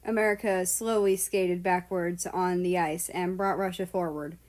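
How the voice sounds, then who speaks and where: A woman's voice: lowish voice, steady tone, moderate pace, darkish tone. Woman reading a story aloud. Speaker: fan fiction narrator. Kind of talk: scripted storybook narration with character dialogue.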